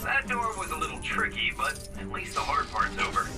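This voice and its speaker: An actor playing a glib, self-assured tech superhero in a video game, dry and sarcastic. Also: male voice, high-pitched, wildly swooping pitch, brisk, loud music behind the voice, heavily acted.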